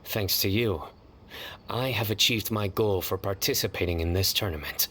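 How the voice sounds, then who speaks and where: A man playing a stern, earnest young officer in an anime video game, speaking in a measured, grandly formal way. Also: fairly low pitch, sharp tone, a little hiss or hum. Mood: solemn, grand.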